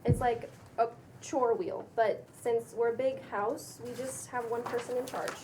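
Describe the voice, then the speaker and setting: A woman's voice: fairly high pitch, steady tone, unhurried, far from the mic, heavy background noise, lightly acted. A woman at a table read playing an enthusiastic, breezy housemate who talks in a colloquial, reassuring way. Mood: breezy explaining. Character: bubbly, enthusiastic housemate with infectious laugh. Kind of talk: table read acting of a pilot script.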